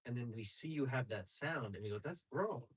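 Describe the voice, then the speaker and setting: Husky, animated comedian in podcast chat. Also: male voice, mid-range voice, brisk. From Greek family. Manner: animated, bursts into voices. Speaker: comedian guest.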